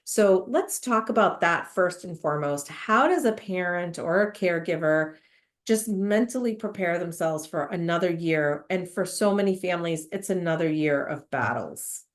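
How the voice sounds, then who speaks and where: A woman's voice: fairly low pitch, lively intonation, moderate pace. A woman hosting a podcast in an effusive, enthusiastic way, sounding nasal from a cold. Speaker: podcast host. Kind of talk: podcast introduction and interview.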